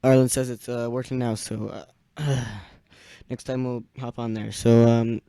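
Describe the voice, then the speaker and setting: Young male radio net host, mellow but smooth, halting casual delivery, dry close sound. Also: mid-range voice, flat delivery, dry recording. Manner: halting, searching for words. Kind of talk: casual radio check-in chat. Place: small indoor radio room.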